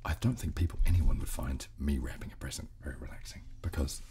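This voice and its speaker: A man's voice: rumbling low, fast, mellow. A man in his thirties hosting a whispered ASMR chat in a polite, self-deprecating way, close to a studio microphone. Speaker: host. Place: small dark indoor studio. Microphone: close studio microphone at a table.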